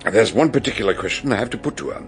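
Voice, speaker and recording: An actor playing a shrewd, courteous older British detective in a radio drama, speaking in a ponderous, theatrical way. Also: male voice, lowish voice, expressive, rapid-fire, soft background music, close to an everyday voice. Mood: grave warning. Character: shrewd, grave, genial older male detective. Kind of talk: radio drama acting and narration.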